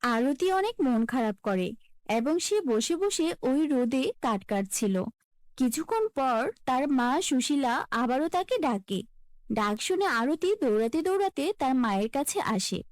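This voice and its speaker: A woman tells a scripted fairy tale in a high voice. Her delivery rises and falls with lively melody, and the recording is dry, with no room sound. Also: a little slow, dry recording. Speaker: storyteller.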